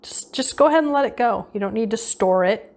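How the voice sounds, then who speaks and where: A woman's voice: on the high side, lively intonation, a little fast, darkish tone. A woman leading an unscripted guided visualization meditation, giving casual instructions and often repeating her phrases.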